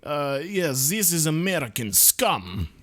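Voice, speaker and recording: Guy doing a mock russian accent, grumpy soviet dude ranting about capitalists, slow and swingy, dry sound. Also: a little high, no echo, exaggerated voice. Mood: scornful. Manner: theatrical, hammy, deadpan grumbling. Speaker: player reading a visual novel aloud. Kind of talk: comic read-through with friends.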